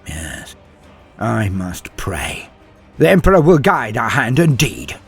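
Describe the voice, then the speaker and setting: A man acting as stern devout war priest, husky, declamatory, pious, animated intonation, storytelling. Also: medium pitch, slow pace, soft background music, full character voice. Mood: solemn, fervent. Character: zealous, barking warrior priest, stern and devout.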